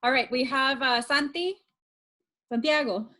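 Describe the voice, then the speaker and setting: A woman's voice: sits high, bright, forceful tone. Upbeat woman moderating a video call. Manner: upbeat, brisk, calls on people by name. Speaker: meeting moderator.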